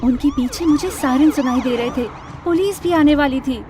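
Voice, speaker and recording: A woman narrating a scripted first-person animated story in a dramatic storytelling manner. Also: sits high, normal speed, slight background noise. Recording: music under the voice.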